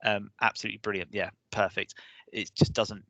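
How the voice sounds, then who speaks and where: A man presenting an online course session, hesitant with many restarts, his voice going hoarse. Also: on the low side, little pitch movement, fairly quick, sharp tone, dry recording, rough recording. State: losing his voice. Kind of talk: online webinar lecture.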